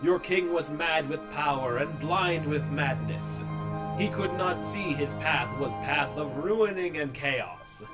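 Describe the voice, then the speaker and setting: A man voicing a smug, grandly calm cartoon cat hero in a storybook parody. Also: a little high, slow pace, over loud music. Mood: calm wisdom.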